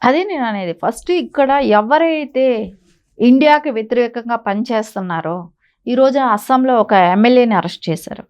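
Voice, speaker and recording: A woman's voice: a little high, normal speed, a little mellow, smooth, dull audio. A woman giving opinionated news commentary in an emphatic, insistent way, often using rhetorical questions. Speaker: political commentator on a news talk show.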